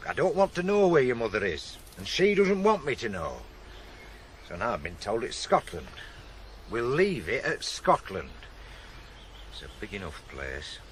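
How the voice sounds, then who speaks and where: A guarded, curt working-class father in middle age played by a man in a 1980s British radio drama. His gruff, halting Northern English speech rises and falls widely, with faint noise on the recording. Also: mid-range voice, very animated, close to an everyday voice.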